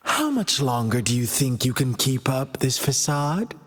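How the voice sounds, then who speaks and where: A sly, taunting game villain. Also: male voice, mid-range voice, sing-song, in a large hall. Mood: sly, mocking. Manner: playful taunting, teasing drawn-out delivery with whispers. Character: mocking young male villain, theatrical and sly. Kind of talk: video game cutscene voice acting.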